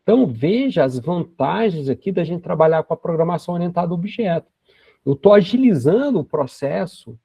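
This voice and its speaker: A man in his forties walks through a coding lesson step by step, checking in with quick tag questions as he goes. His voice is gravelly and a little husky, set slightly high for a man. The recording is dry and dull, like a cheap desk mic. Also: no room sound. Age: 40s. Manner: explains step by step, with frequent tag questions and restarts. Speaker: programming teacher.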